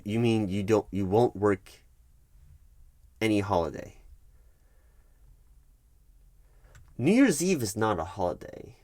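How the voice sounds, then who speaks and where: A man's voice: on the low side, warm tone, dry recording, clean studio sound. A man playing a patronizing, bureaucratic HR manager in a one-sided ASMR roleplay, patiently insistent and repeating himself. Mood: incredulous, firm.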